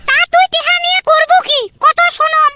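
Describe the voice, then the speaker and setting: Man putting on a squeaky, extremely high cartoon mother. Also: fairly even intonation, fairly quick, noisy background. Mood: scolding. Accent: northern Bengal (Cooch Behar region). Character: scolding, soft-hearted village mother of grown son. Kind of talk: comedy cartoon voice acting in rural dialect.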